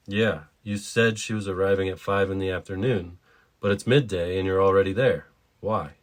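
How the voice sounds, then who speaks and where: Man acting weary estranged husband, low voice, grieving father, restrained but exasperated, dramatic audio story. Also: steady tone, moderate pace, lightly acted. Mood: weary, doubting. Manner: restrained, strained, pleading. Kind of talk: dramatic short story reading.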